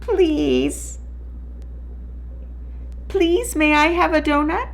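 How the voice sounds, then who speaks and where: A woman's voice: high-pitched, drawn-out words, gravelly, pitched above the performer's own voice, full character voice. A woman reading a storybook, voicing an eager male lemur with very polite, emphatic, pleading courtesy.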